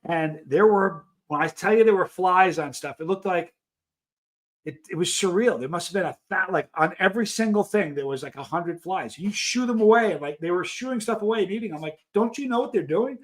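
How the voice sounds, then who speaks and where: Middle-aged male streamer, voice a little on the high side, informal chat with viewers, animated anecdote teller. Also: fairly quick. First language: English. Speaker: live stream host. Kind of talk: casual chat answering live viewers, telling travel anecdotes.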